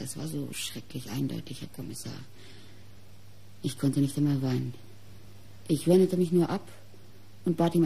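A mocking, embittered wife in a radio mystery. Her voice is breathy, dark and on the low side. She speaks theatrically, with measured, cutting phrasing. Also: full character voice. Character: bitter, mocking wife of a painter. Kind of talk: German radio crime drama acting.

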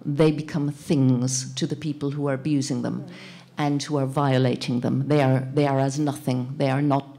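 A middle-aged woman moderating a panel discussion, thoughtful and halting as she searches for words. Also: extremely low pitch, flat delivery, mellow. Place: indoor panel event in a plain gallery room. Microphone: handheld microphone.

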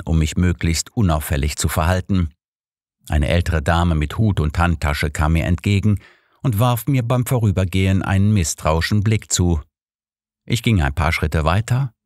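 A man's voice: low voice, darkish tone, no echo. A man narrating a scripted first-person story as an audiobook reader, close on a studio microphone.

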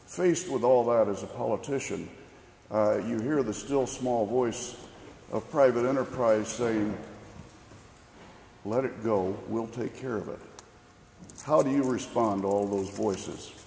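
A man from the audience asking a question in a slow, deliberate way, building it with rhetorical repetition in a gravelly voice. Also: medium pitch, mellow, slight background noise. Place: large school gymnasium. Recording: echoey gym recording.

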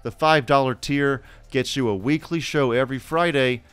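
A man's voice: mid-range voice, normal speed, a bit bright, clear, resonant tone, dry recording. A man co-hosting a podcast, reading scripted narration. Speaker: podcast co-host.

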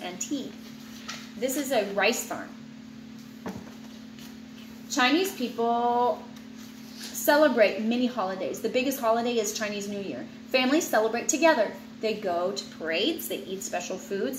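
A woman in her thirties reading a children's nonfiction book aloud at home, clear and steady, stumbling over hard names and adding small asides. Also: on the high side, echoey room, noisy background. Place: home living room with ceiling fan. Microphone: webcam at desk distance.

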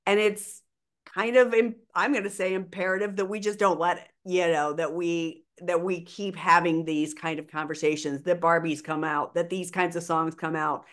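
An older woman with a very low voice, co-hosting a podcast over a video call, speaking earnestly and with conviction. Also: steady tone, normal speed, a bit bright. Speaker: podcast co-host. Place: small bedroom at home.

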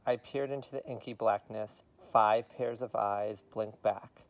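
A man's voice: on the low side, steady tone, unhurried. A man, reading a children's story aloud expressively. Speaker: read-aloud reader.

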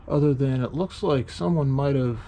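A man's voice: mid-range voice, normal speed, dark tone, a little hiss or hum. A man casually narrating a walk through an abandoned train station, musing and thinking aloud as he points things out. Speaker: urban explorer vlogger. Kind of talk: casual on-location walkthrough narration.

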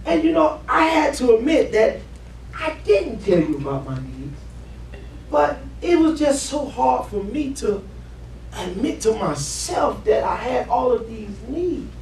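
A man playing an earnest, needy husband in a stage play, opening up haltingly to his wife. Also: extremely high pitch, very animated, a little mellow, heavily acted. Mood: hesitant, vulnerable. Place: living-room stage set indoors. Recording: roomy, distant camera mic, background noise.

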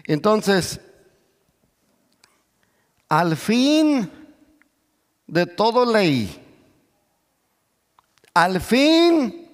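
A middle-aged man preaching in Spanish, with as his language, who peppers his sermon with hallelujahs, calls for amen, and swells toward near shouts. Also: high voice, very animated, drawn-out words, pauses often. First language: English. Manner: moves about and gestures on stage, voice swells to near shouts. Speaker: pastor. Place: large church stage. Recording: long reverb of a big church hall.

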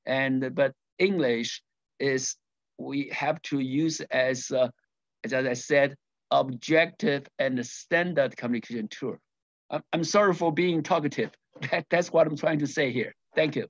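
A man's voice: medium pitch, unhurried, no room sound. Chinese-accented man presenting, dull call audio. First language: Mandarin Chinese. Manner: hesitant, rephrases often, laughs between points. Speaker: conference presenter. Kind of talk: academic presentation over video call. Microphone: video call microphone.

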